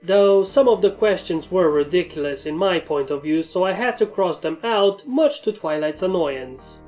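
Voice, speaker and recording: A woman's voice: fairly low pitch, expressive, moderate pace, darkish tone, soft background music. A woman reading fan fiction aloud as scripted story narration.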